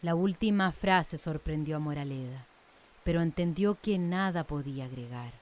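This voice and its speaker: A woman narrating a scripted radio drama in a measured storytelling manner. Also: sits low, darkish tone.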